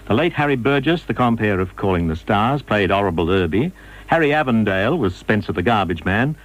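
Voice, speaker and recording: A man's voice: on the low side, lively intonation, a little fast, poor audio. An Australian man presenting a radio documentary in scripted narration.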